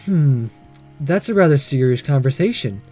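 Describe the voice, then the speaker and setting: Man voicing gentle, measured woman school principal. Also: medium pitch, lively intonation, faint music behind, pitched below the performer's own voice, lightly acted. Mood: calm, curious. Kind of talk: reading visual novel dialogue aloud.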